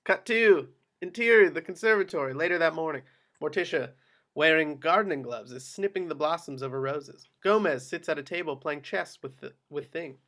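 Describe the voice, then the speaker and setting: Higher-voiced man reading stage directions. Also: moderate pace, fairly bright tone. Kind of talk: scripted screenplay narration at a table read.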